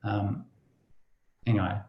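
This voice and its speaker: A man's voice: lowish voice, level tone, slow pace, muffled recording. Male New podcast host, roomy sound. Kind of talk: casual conversational interview.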